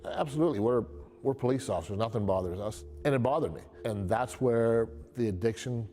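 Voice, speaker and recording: A man's voice: medium pitch, fairly quick, dark tone, slight background noise. A middle-aged American man speaking candidly in an interview, in plain words and often repeating phrases. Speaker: interviewee, dog trainer and former police officer.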